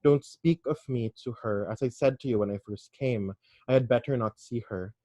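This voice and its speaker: A man voicing a self-loathing, weary young English gentleman in a measured, grave reading. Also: medium pitch, no echo, close to an everyday voice. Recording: dry, slightly dull recording.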